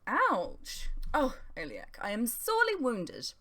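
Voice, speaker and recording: A woman's voice: a little high, wildly swooping pitch, slow, sharp tone, voice pushed up, heavily acted. A woman voicing a brash, cheeky young male student in an audiobook, with an exuberant, theatrical manner. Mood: mock wounded. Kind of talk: dramatised audiobook dialogue.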